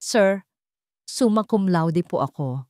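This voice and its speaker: A woman's voice: fairly low pitch, lively intonation, slow pace, dark tone, no room sound, clean studio sound. A woman voicing a humble, desperate young male job applicant in a story, meek and trembling, with a Filipino accent. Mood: nervous, earnest.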